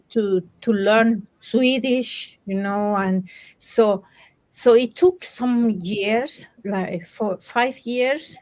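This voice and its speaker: A woman with a Panamanian Spanish accent speaks at a very unhurried pace. She tells personal stories conversationally, in halting English where she often corrects herself. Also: mid-range voice, a little mellow. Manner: halting, self-correcting second-language phrasing. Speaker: coach. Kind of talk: conversational personal storytelling in a group discussion.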